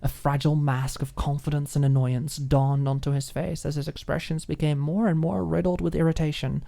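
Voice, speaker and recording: A woman's voice: low voice, level tone, fairly quick, vocal fry, dark tone. A woman reading scripted story narration as an audiobook narrator.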